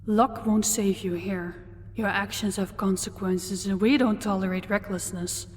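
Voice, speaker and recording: A woman playing a commanding, possessive demon lord in an audio roleplay, speaking in a cold, deliberate, imperious manner. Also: mid-range voice, fairly even intonation, slight background noise, lightly acted. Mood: scolding, cold. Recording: long hall-like reverb.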